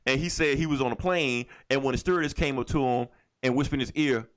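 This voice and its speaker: A man co-hosting a podcast, chatting casually about comics and games in a profane, opinionated, animated way. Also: mid-range voice, quick pace. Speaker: podcast co-host. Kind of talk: casual comic book and video game chat.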